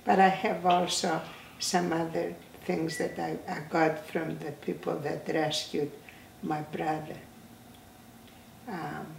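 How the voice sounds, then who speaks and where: An elderly woman in her eighties, from Greece, recalls her life in an interview, slow and halting with frequent restarts. Her voice has a gravelly edge. She sits in a room, picked up from a distance with a roomy echo. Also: low voice, level tone, a little hiss or hum. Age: 80s. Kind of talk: oral history interview. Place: indoor room, seated in a chair. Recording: roomy, slightly distant sound.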